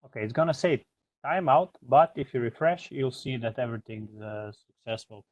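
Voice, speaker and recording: A man's voice: mid-range voice, lively intonation, normal speed, no room sound, highs cut off. Man giving a hesitant, informal tech walkthrough. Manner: informal, hesitant step-by-step explaining. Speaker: product trainer. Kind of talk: software tutorial demonstration.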